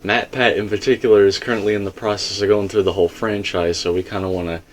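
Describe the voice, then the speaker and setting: A man with a fairly low voice hosts a relaxed discussion show. He talks informally to his viewers and explains his points step by step, as if chatting through an idea with a guest. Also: fast talker, slight background noise. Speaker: host of a fan theory discussion.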